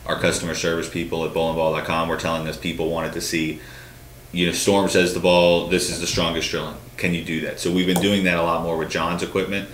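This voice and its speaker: A man's voice: sits low, little pitch movement, fairly quick, a bit bright. A man in his thirties co-hosting a bowling talk show at a studio desk, explaining at length with lots of restarts and hand gestures. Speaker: bowling show co-host answering questions. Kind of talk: casual studio chat. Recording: roomy studio echo with faint hum.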